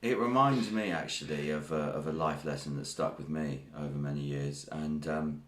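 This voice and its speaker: A man's voice: rumbling low, moderate pace. A Greek-born man, hosting a live stream and spinning a rambling, jokey tall tale. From Greece. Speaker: stream host. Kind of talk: comedic live stream chat. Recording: roomy echo.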